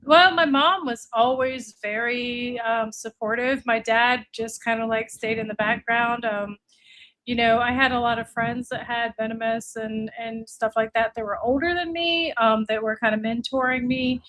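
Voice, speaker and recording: A woman's voice: on the high side, fairly even intonation, moderate pace, a bit bright, rough recording. A woman in her late forties with an American accent, chatting casually as an interview guest. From United States (Virginia, earlier Florida). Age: late 40s. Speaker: podcast guest, reptile breeder.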